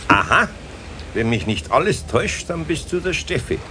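A man playing a patient, gruffly kind older carpenter with a husky voice and Bavarian accent, admonishing in a homely way in a radio play. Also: fairly high pitch, big pitch swings, normal speed, heavy background noise. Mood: friendly, firm. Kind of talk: 1970s German children's radio play acting.

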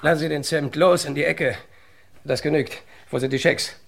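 An actor playing an unhinged crook who gives curt commands in a gravelly voice, in an old radio crime drama. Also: male voice, on the high side, steady tone, normal speed. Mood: threatening. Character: unhinged male crook barking demands.

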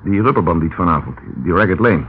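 An actor playing a determined, persistent police inspector in a Dutch radio detective drama, questioning formally and insistently. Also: male voice, sits low, lively intonation, brisk. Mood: urgent, reasoning. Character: determined male Scotland Yard police inspector, brisk and persistent. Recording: tinny, band-limited, noisy old radio recording.

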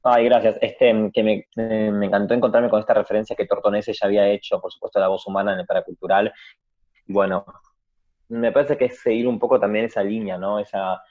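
Tinny call audio, low-voiced Argentine man. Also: flat delivery, a little fast. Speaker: guest performing artist and teacher. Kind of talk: casual interview talk. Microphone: remote internet call.

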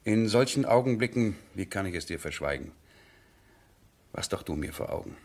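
An actor playing a passionate, headstrong nobleman in love, husky and theatrical, in a 1970s German radio drama. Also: sits low, expressive, normal speed. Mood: tender, confiding. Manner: impassioned, theatrical, swinging from hushed to ringing.

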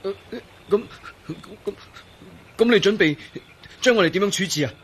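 A woman's voice: medium pitch, lively intonation, normal speed, slight background noise, lightly acted. A woman acting a weary, bitter and fearful character in a radio drama, sighing and hesitant, with a Hong Kong Cantonese accent. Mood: frightened, suspicious. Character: Wong Yin, weary bitter fearful young woman in hiding.

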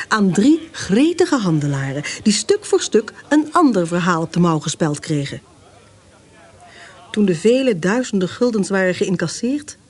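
Female narrator for period radio drama: husky, expressive storytelling with lively intonation. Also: medium pitch.

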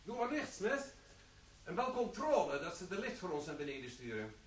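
An actor playing a formal, precise and officious company manager in a radio drama. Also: male voice, sits high, very animated, moderate pace, noisy background. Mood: brisk, explanatory. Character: formal male security-minded company manager showing a vault.

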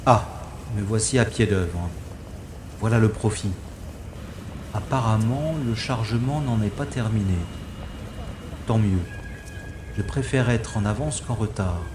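An actor playing a wry, stubborn special agent who narrates his own science-fiction audio drama in a sardonic, deliberate way. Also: fairly low pitch, noisy background, close to an everyday voice. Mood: watchful, wry.

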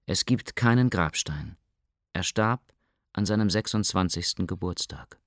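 A man narrating a radio documentary in a sober, even way. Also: low-pitched, unhurried, no room sound, clean studio sound.

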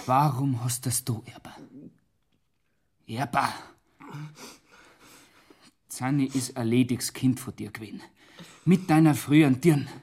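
An actor playing a persistent police inspector in a radio crime drama, with a Bavarian accent and insistent, probing delivery. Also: male voice, medium pitch, fairly even intonation, a little slow, long pauses, darkish tone, slightly rough. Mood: pressing, stern.

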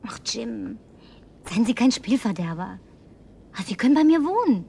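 A woman's voice: on the high side, very animated, normal speed, creaky, warm tone, a little hiss or hum. An actress playing a lonely, house-proud young woman in a German radio drama, coaxing and effusive with sighs. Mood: persuasive.

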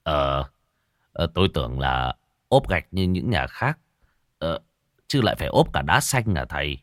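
A man's voice: very deep voice, lively intonation, unhurried, fairly bright tone, no room sound, clean studio sound, pitched below the performer's own voice, exaggerated voice. A man voicing an agreeable old village father who hems and hesitates as he talks. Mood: hesitant.

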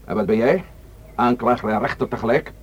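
An actor playing a firm, probing police inspector in a Dutch radio play, authoritative and clipped in manner. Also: male voice, medium pitch, brisk, slightly rough, muffled recording, lightly acted. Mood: probing, stern.

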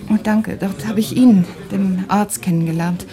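An actress playing a German refugee woman who searches for her husband, speaking in a weary, halting way that sometimes sinks to a whisper in a radio drama. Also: mid-range voice, steady tone, crowd noise, lightly acted.

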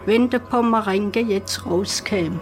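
A woman's voice: medium pitch, takes it slowly, music in the background. An older woman with a southwest German accent recalling the past in an interview. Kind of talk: interview recollection.

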